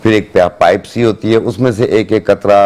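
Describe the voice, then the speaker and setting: Male hakeem, lower voice, patient instructive delivery on a morning show. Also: a little fast. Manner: calm, step-by-step instructive. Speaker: hakeem giving herbal advice. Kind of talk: studio chat, giving remedies. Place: TV studio.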